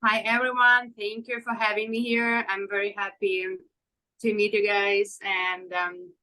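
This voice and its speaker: A woman in her thirties from Ukraine, a fashion designer answering interview questions in halting with many fillers. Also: mid-range voice, level tone, bright, forceful tone, low-quality recording. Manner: halting non-native English with fillers.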